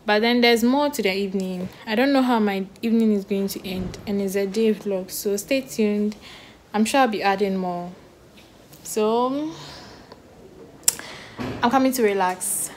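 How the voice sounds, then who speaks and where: Young Ghanaian woman vlogging on her phone. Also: mid-range voice. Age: young adult. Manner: chatty, talks to camera in a relaxed ramble. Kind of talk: casual vlog chat. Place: small bedroom indoors. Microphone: phone held at arm's length. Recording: phone audio with clear background noise.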